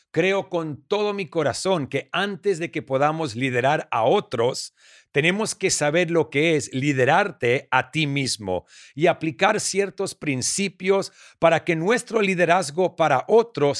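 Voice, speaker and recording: A man's voice: fairly high pitch, no echo, studio quality. A man from Argentina with an Argentine Spanish accent, hosting a faith-based podcast interview in an encouraging, effusive way. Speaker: podcast host.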